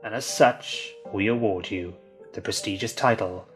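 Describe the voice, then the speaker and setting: A man playing a self-important group member in a comic web film, speaking with deadpan mock formality. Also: lowish voice, normal speed, faint music behind, natural voice. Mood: mock solemn.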